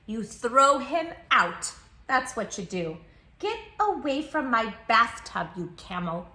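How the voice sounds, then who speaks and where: A woman reading a picture book aloud to children in an expressive, affectionate, playful way as a storytime host. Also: fairly high pitch, slow pace. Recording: roomy echo, faint music behind. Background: faint music.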